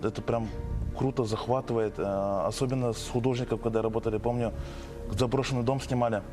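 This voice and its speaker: A man's voice: medium pitch, steady tone, brisk. Young male actor, casual and enthusiastic, music bed. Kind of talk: TV interview. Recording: music behind the voice.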